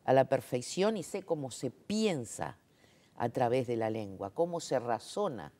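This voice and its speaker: Low-voiced woman explaining emphatically. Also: very animated, unhurried, dark tone. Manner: explanatory, emphatic, frequent fillers and rhetorical checks. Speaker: interviewee, sign language interpreter. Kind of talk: conversational interview.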